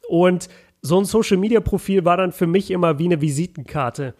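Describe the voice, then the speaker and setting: A man's voice: sits high, fairly quick, darkish tone. A young man talking casually on a podcast, chatty, with many fillers and restarts, mixing English words into his speech.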